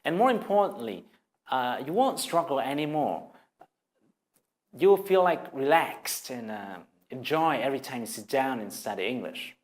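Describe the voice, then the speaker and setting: A man's voice: medium pitch, expressive, moderate pace. Vietnamese-accented man teaching English. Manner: earnest, encouraging, direct to viewer. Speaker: language teacher. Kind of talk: scripted video lesson.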